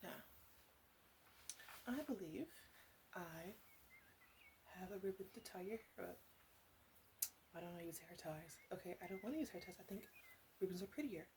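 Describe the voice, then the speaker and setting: A woman's voice: lowish voice, expressive, normal speed, long pauses. A woman playing a flirty, doting, slightly bossy witch in an ASMR roleplay, rambling and hesitant as she slips in and out of whispers. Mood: flirty, teasing. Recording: clearly audible background noise.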